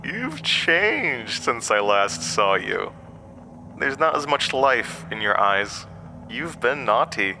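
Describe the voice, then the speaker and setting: Horror podcast villain, male actor: undying hatted entity, very bright forceful edge, mocking and weary, theatrical, faint noise behind. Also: mid-range voice, heavily acted. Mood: menacing, amused. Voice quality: broken-windpipe voice, borrowed from another man. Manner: mocking, theatrical, cryptic, chuckling asides. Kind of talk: horror audio drama acting.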